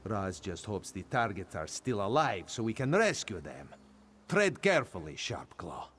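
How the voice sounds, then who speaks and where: A cheery cat-folk rogue, talks about himself in third person, pitch bounces all over, game voice. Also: male voice, very animated, moderate pace, fairly bright tone, husky, exaggerated voice. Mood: playful.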